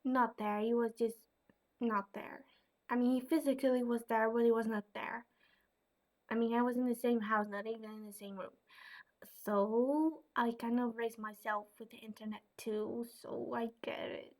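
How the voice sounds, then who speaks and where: A woman's voice: a little high, steady tone, normal speed. A young woman from the Netherlands with a Dutch accent, answering viewer questions in a casual vlog, hesitant and full of fillers. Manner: hesitant, many fillers and soft asides.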